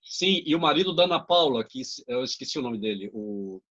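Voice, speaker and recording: A man's voice: medium pitch, lively intonation, brisk, fairly bright tone, muffled recording. A man from Brazil, a theatre director, chatting on a video call and correcting dates and names as he remembers them. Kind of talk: casual video-call chat.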